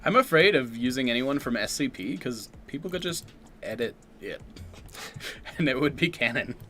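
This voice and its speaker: A laid-back man co-hosting a livestream, tossing off short dry quips in a relaxed, easy way. His voice sits at a middle pitch for a man, and a faint hum of noise runs under the recording. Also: normal speed. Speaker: co-host of a livestream Q&A. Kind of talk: casual livestream chat.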